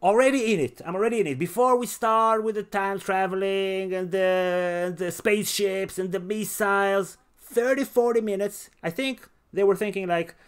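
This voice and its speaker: Male podcast host, higher-pitched voice, emphatic and enthusiastic, casual film talk. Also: normal speed, clean tone. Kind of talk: casual podcast movie review.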